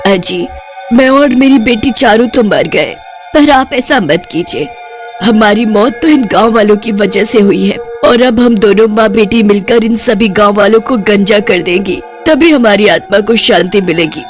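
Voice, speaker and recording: A woman acting as a vengeful witch ghost, a mother with a grudge. She speaks at a medium pitch in a menacing cartoon manner, with music underneath. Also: measured pace, close to an everyday voice. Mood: aggrieved, solemn. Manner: menacing, aggrieved.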